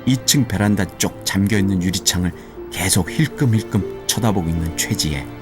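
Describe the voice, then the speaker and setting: Male narrator for a radio drama; low register, even descriptive read over music. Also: a little fast.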